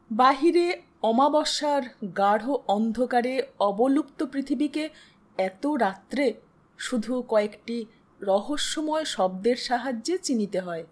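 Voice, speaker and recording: A woman reading a literary story in a measured, expressive, dramatic narrating style. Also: on the high side. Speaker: host and narrator of audio stories.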